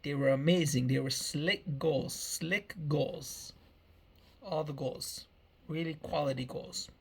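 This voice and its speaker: A man's voice: a little high, takes it slowly, slightly rough. A man in his early thirties giving a meandering personal life update, often repeating himself and restarting phrases. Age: 30s. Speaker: vlogger.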